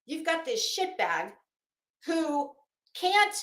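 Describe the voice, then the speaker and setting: High-pitched woman ranting slowly in a roomy space. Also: very animated, fairly bright tone. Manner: blunt, profane, emphatic, halting with drawn-out words. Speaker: online commentary host. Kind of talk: casual rant commentary addressed to viewers. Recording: roomy echo.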